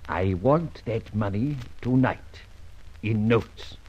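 An actor playing a suave, mocking masked gentleman adventurer in an old radio serial, polished and drily ironic, with courteous menace. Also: lowish voice, lively intonation, slow pace, a little hiss or hum. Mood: smooth menace. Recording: muffled old radio drama recording.

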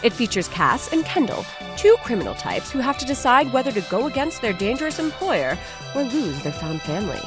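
A woman's voice: a little high, big pitch swings, brisk. A woman presenting a podcast with a theatrical, quirky, comic introduction. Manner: playful, mock-dramatic, quirky. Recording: music bed behind.

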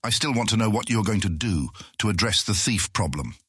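Fantasy audiobook guildmaster: hot-headed, theatrical and emphatic, sharp edge, very animated intonation, dry studio sound. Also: male voice, on the low side, a little fast, breathy edge, dry recording. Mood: insistent. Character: excitable, aggressive male guildmaster who hates thieves. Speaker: voice actor in audiobook. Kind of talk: full-cast fantasy audiobook acting.

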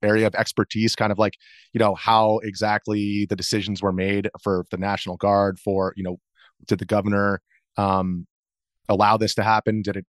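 Low-pitched American man, measured and explanatory. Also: flat delivery, no room sound, studio quality. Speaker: interview guest, video journalist. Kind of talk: conversational explanatory interview.

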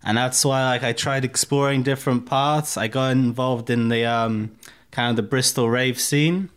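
A man's voice: mid-range voice, fairly even intonation, normal speed, clean tone. A man in his thirties from Russia with a mixed Russian, American and English accent, chatting candidly and profanely as an interview guest, full of fillers. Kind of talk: casual interview chat.

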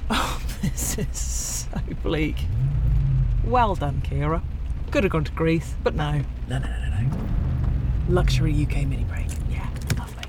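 A woman's voice: sits low, expressive, unhurried, heavy background noise. A woman playing a dismayed holiday guest who grumbles to herself with dry sarcasm in a naturalistic audio drama. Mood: bleakly sarcastic.

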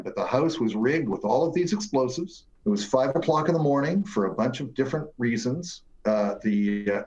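An older man spins long, emphatic stories about film sets, doubling back and restarting his sentences. His pitch sits in the middle of the range for a man. The recording sounds dull and lo-fi. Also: a little mellow. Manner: rambling, emphatic, with many restarts. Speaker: guest film producer. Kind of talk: anecdotal storytelling.

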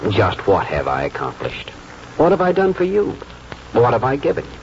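A man's voice: medium pitch, wildly swooping pitch, normal speed, vocal fry, a little mellow, slight background noise. An actor playing a weary, self-doubting middle-aged country lawyer, a man in a 1940s radio drama. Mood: weary, discouraged. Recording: muffled 1940s radio broadcast, music.

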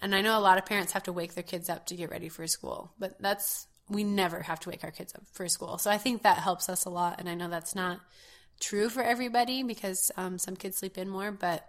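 A woman in her thirties co-hosting a podcast in an easygoing chat, her voice hoarse and scratchy, laughing often. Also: mid-range voice, fairly even intonation, brisk. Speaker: podcast co-host. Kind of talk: casual chat.

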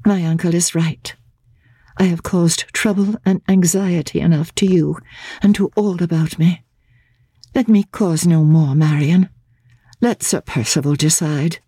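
A woman voicing a sad young gentlewoman, earnest and inflexibly resigned, in a dramatic reading of a classic novel. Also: fairly low pitch, a little slow, husky, no echo, studio quality, pitched above the performer's own voice. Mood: sad resignation.

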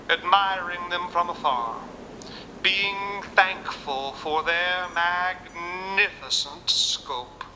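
A man's voice: sits high, bright, forceful tone, in a large hall, slight background noise, heavily acted, poor audio. A man playing a courtly, folksy Southern preacher in an audio drama, speaking in a grandiloquent, courteous way. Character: courtly folksy preacher husband broadcasting from inside a radio.

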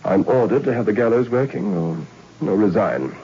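A man's voice: lowish voice, very animated, normal speed, dark tone, slightly rough, full character voice. An actor playing a stern male prison governor, an army major, speaking in a clipped, commanding way in a 1960s radio drama. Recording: dull lo-fi old radio recording with faint hiss.

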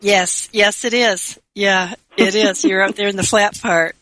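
A woman's voice: mid-range voice, steady tone, unhurried, fairly bright tone, no echo. A woman hosting a radio interview, chatty and encouraging with her guest. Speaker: radio host.

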